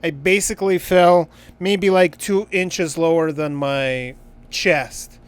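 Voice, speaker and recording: A man's voice: a little high, steady tone, slow pace, clean tone. A man livestreaming a game and chatting casually, in a blunt, joking and profane way. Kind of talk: casual livestream chat while gaming.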